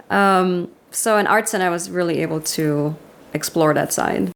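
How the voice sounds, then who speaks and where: Austrian-accented woman guest in her thirties, low gravelly voice, candid and quick to laugh. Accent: Austrian German. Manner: candid, laughs often, quick self-deprecating asides. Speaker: guest, commercial director. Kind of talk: casual interview chat.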